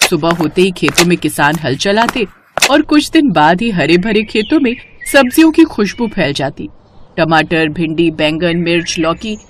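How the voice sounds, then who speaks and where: Woman, scripted story narration, very varied intonation, expressive, slight noise behind. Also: medium pitch.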